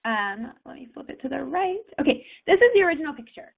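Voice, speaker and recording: A woman hosting a casual software tutorial, chatting straight to her viewers with lots of fillers while fighting a cold. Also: high-pitched, very animated, quick pace, creaky. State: has a cold, sniffling. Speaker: tutorial host. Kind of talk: casual screen-share tutorial. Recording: tinny phone-like line.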